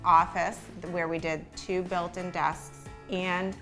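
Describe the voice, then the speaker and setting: A woman's voice: lowish voice, flat delivery, takes it slowly, pushed and bright, soft background music. A middle-aged woman co-hosting a casual home design chat, reading out viewer questions and explaining with an upbeat, easy laugh.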